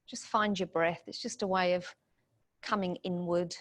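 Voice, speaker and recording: A woman leads a guided meditation, giving soothing, gentle instructions in short phrases. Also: lowish voice, steady tone, normal speed.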